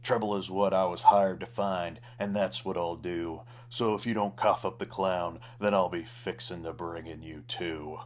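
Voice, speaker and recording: A man voices a hard-bitten cowboy lawman from a Western tale. The voice is low and drawling, with folksy, slow talk from a tough bounty hunter. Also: fairly even intonation, close to an everyday voice. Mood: menacing.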